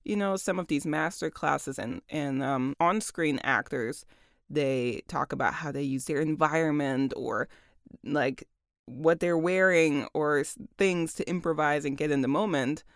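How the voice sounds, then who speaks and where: A woman voice actor with a deep voice, chatting casually about her work, laughing often and using lots of fillers. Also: unhurried, no room sound, studio quality. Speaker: voice actor and audiobook narrator.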